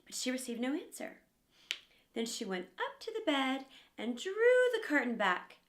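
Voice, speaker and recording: A woman narrates a children's story with great expression. Her voice is high and moves freely up and down as she tells the tale. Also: huge pitch swings, normal speed.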